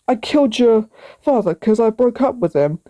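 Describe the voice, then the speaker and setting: Lady doing a mean stepmom dragon, scornful n bossy, storybook read aloud, highish voice. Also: animated, moderate pace, warm tone, no room sound, natural voice.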